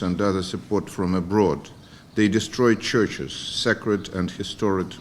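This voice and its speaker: A man reads a formal diplomatic address. He goes haltingly, repeating words as he reads. Faint noise sits in the background. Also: deep voice. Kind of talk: formal read speech. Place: conference room.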